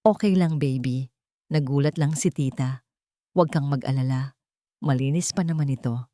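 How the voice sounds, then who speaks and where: A woman's voice: rumbling low, normal speed, warm tone, dry recording. Cold scheming stepmother, played by a female narrator, falsely sweet and menacing, dry storybook drama sound. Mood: feigned sweetness.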